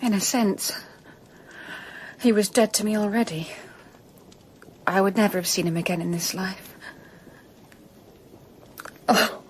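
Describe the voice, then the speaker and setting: An actress as a sorrowful Victorian gentlewoman in a radio drama. Her refined, mid-pitched voice stays restrained, with slight noise in the background. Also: unhurried, pauses often, lightly acted. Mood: stunned, grieving. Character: grieving, composed Victorian gentlewoman.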